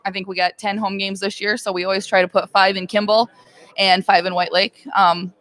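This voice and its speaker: A woman's voice: medium pitch, level tone, a little fast. A woman in her thirties, a girls basketball head coach, answering interview questions in a plain-spoken way with many fillers. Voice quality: loud voice.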